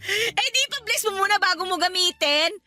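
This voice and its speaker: A woman playing a lively, persuasive shopaholic friend in a radio drama, animated and coaxing. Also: very high voice, normal speed, soft background music, full character voice. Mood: breezy, egging on.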